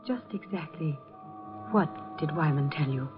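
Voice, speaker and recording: A woman's voice: deep voice, measured pace, soft background music. A woman playing a guarded, haughty suspect in a 1950s radio drama, clipped, controlled and challenging. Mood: cool, challenging. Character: cool, haughty, guarded woman under suspicion. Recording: muffled vintage 1950 radio recording.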